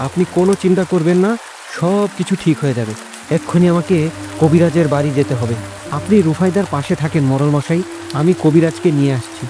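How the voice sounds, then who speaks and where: Man acting fast-talking, indignant poor villager. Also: on the high side, over music, natural voice. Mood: reassuring, urgent. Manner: earnest, pleading. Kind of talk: melodramatic cartoon voice acting.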